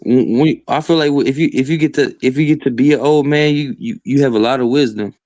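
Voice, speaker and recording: A man's voice: medium pitch, fairly quick, darkish tone, no room sound, lo-fi sound. Rambling, crude young man, dry sound. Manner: rambling, crude, says "bro" and "like" constantly. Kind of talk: casual profane chat.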